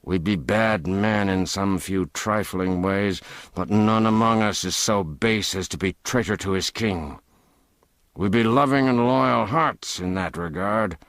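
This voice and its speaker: Burly vagabond leader, commanding, archaic speech, read by a man, low voice. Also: measured pace, fairly bright tone, no room sound. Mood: firm. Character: burly, gruff vagabond gang leader, good-natured.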